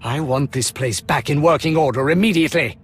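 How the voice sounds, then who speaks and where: An actor playing a stern, impatient military colonel in a video game, clipped and commanding. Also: male voice, on the high side, fairly quick, breathy edge. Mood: angry, impatient.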